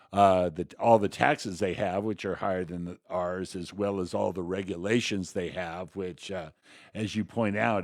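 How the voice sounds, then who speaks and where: A man's voice: low-pitched, a little mellow, dry recording, clean studio sound. A man co-hosting a talk show with conversational political commentary, hesitant and rambling, often repeating himself.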